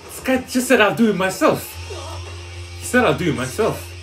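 A man's voice: sits high, big pitch swings, moderate pace, a bit bright, faint music behind. A young man giving casual reactions, slangy and punchy, often repeating his phrases. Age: young adult. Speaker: reaction video host. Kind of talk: casual group reaction commentary. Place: small living room, indoors. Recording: slightly distant, show soundtrack playing behind.

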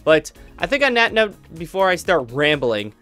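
A man's voice: a little high, very animated, moderate pace, pushed and bright, soft background music. A young man chatting casually to his viewers, rambling with many fillers and restarts. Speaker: YouTube roleplay creator.

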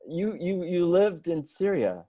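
Mellow-voiced man hosting a webinar. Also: high voice, a little slow, no room sound, highs cut off. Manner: friendly, filler-heavy, relaxed questions. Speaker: webinar host. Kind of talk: hosted webinar interview. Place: home room with window shutters. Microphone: video call.

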